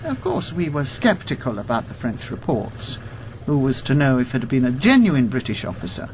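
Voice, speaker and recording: An actress playing a steely elderly former intelligence officer in a radio drama, speaking precisely and with cold insistence. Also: female voice, extremely low pitch, very animated, darkish tone, a little hiss or hum. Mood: measured, knowing. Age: 70s or older. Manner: precise, deliberate, coldly insistent.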